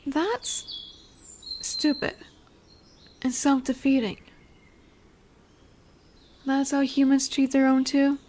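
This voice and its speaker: A woman plays a dominant female lamia in a fantasy roleplay audio, high in pitch and unhurried. She is curious and a little condescending, yet nurturing, teasing and soothing as she slips toward breathy whispers. Birdsong and woodland ambience sit behind the voice. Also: level tone, darkish tone, slight background noise. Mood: gentle, indignant. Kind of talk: slow roleplay audio monologue addressed to the listener. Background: birdsong, forest ambience.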